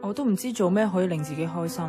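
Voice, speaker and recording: Playful, fast-talking young office woman by an actress. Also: on the low side, lively intonation, music in the background, natural voice. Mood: playful, wondering. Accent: Hong Kong Cantonese. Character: playful, animated young female office worker. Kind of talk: Cantonese radio drama acting.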